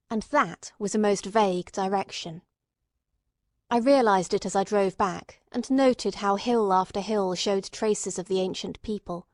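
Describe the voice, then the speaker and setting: A woman reading classic detective fiction as an audiobook narrator, in a measured, formal way. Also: medium pitch, no room sound, studio quality.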